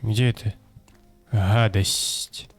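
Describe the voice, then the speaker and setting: A man's voice: on the low side, fairly even intonation, very slow, faint music behind. A young man thinking aloud and joking casually while playing a detective game. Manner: mumbling, wisecracking, thinking aloud. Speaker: gameplay streamer. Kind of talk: casual let's-play commentary.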